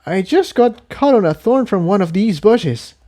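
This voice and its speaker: Young winged pony mare for a storybook, male reader: lifted high pitch, earnest, tender, plain-spoken. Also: expressive, moderate pace, mellow. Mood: reassuring.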